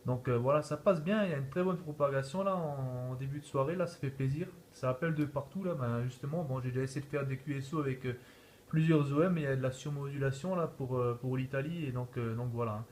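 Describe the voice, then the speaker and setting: A man's voice: mid-range voice, fast, darkish tone. Chatty man, faint noise behind him. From northeast France. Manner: chatty, habitually tags phrases with "là" and "hein". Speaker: amateur radio operator. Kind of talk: casual radio contact chat.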